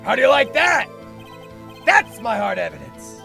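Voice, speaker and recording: A man voicing a bumbling, stammering police detective with a gravelly voice, in a fan-dubbed courtroom drama. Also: very high voice, sing-song, a little slow, sharp tone, soft background music, exaggerated voice. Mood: blustering.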